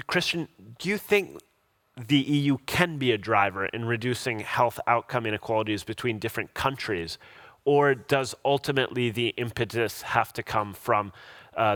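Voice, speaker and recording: A man's voice: fairly low pitch, fairly bright tone. A man moderating a panel discussion in a conversational style.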